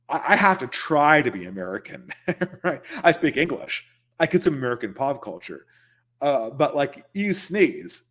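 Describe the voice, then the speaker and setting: A man's voice: medium pitch, fairly bright tone. A man hosting a casual livestream, answering viewer questions in loose, conversational talk and chuckling between points.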